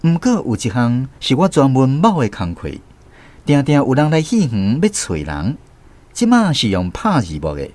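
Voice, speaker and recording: A man's voice: mid-range voice, huge pitch swings, normal speed, a little mellow. A man playing a grown storyteller reflecting on his boyhood, narrating a radio drama with a Taiwanese accent. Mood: reflective.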